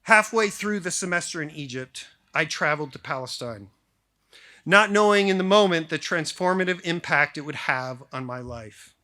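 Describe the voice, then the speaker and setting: American male, 40s, formal commencement-style speaker, slightly high pitch, bright forceful tone, measured delivery with deliberate pauses. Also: lively intonation. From grew up in Japan, then suburban Detroit, US. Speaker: school faculty member giving a valedictory speech. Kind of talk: prepared formal speech to graduating class.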